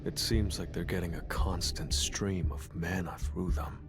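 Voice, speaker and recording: A young hunter from a game cutscene, low and deadpan. He speaks tersely, calm and guarded, like someone used to giving orders. Music plays clearly behind him. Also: unhurried. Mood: puzzled, analytical. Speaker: video game voice actor.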